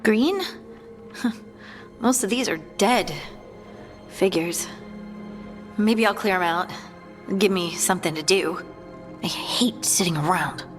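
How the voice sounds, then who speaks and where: A woman's voice: on the low side, takes it slowly, pauses often, long reverb tail, faint music behind. A woman playing a restless, driven, blunt fighter in a fantasy video game, speaking in clipped, insistent phrases. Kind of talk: video game cutscene dialogue.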